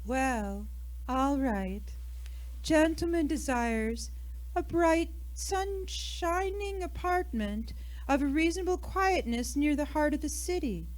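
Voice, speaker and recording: An actress playing a flippant, bored rental agency clerk in a radio play, offhand and wisecracking. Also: female voice, high voice, lively intonation, takes it slowly, darkish tone, low-quality recording. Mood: grudging.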